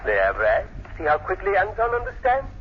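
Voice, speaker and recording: A man's voice: high voice, huge pitch swings, normal speed. An actor playing an imperious, mocking, sinister male professor in an old radio drama. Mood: haughty, impatient. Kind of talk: 1940s radio drama acting. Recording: muffled, noisy old-time radio recording.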